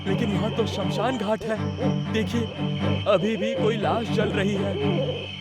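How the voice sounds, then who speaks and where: A man playing an anxious, loyal young man in an animated horror-comedy story. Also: high voice, slow pace. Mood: worried. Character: anxious loyal young man searching for his vanished friend. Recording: loud music bed behind the voice.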